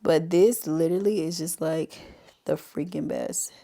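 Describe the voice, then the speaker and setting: Low-voiced, stuffy-nosed woman chatting. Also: fairly even intonation, unhurried, vocal fry, mellow. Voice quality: stuffy, congested. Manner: chatty, talks straight to viewers as "y'all". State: stuffy nose from a cold. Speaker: beauty vlogger. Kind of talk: casual makeup tutorial.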